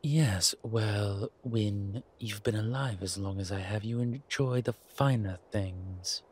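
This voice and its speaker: Over clear background ambience comes a man acting as a centuries-old male vampire in an audio roleplay. He is suave, wry and protective, with a lowish voice. He talks very slowly, leaving theatrical pauses as he addresses his companion. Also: a little hiss or hum. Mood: wry, grumbling. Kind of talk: scripted roleplay audio drama addressed to the listener.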